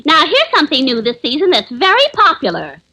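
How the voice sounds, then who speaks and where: High-voiced pert perfume salesgirl. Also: wildly swooping pitch, fairly quick, pushed and bright, exaggerated voice, poor audio. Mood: pert, selling. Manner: sales patter, dryly sassy. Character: brisk, pert department store perfume salesgirl. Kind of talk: 1930s radio comedy acting. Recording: hissy 1930s radio recording.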